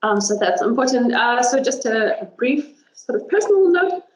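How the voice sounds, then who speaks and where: A woman's voice: on the high side, sing-song, moderate pace. German-native woman lecturing over a call. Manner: thoughtful, many ums and tag "right?". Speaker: linguist. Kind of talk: webinar talk. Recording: roomy, dull video call audio.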